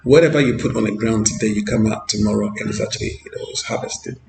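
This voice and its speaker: A man with a Ghanaian English accent speaks earnestly to camera from a street, repeating words and pausing on fillers. His voice has a gravelly edge. The sound is roomy, with faint street ambience behind him. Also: on the low side, background noise. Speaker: vlogger. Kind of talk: walking street vlog. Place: outdoors on a street.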